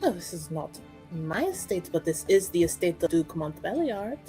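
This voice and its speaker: A woman's voice: lowish voice, very animated, moderate pace, dark tone, faint music behind, voice pushed down, rough recording. A woman voicing an evasive, well-mannered noblewoman in a fantasy tabletop game, with polite, slightly hesitant, genteel phrasing. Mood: feigned dismay.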